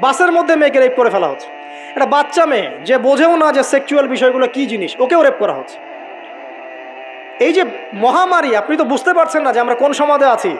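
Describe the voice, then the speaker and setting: A man's voice: extremely high pitch, lively intonation, quick pace, rough recording. A Bangladeshi man preaching an Islamic sermon, emphatic, repeating key phrases and putting rhetorical questions to his listeners. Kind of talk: waz sermon to an audience. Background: music.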